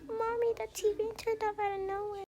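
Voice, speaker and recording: A woman voicing a young, whiny, excitable child in an amateur roleplay story. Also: very high-pitched, a little fast, slight background noise, voice pushed up. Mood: excited.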